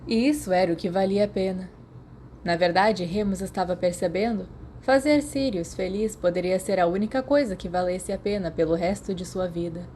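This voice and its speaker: A woman's voice: fairly low pitch, moderate pace, mellow, slight background noise. A Brazilian woman reading a story aloud as a scripted audiobook narration. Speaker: podfic narrator.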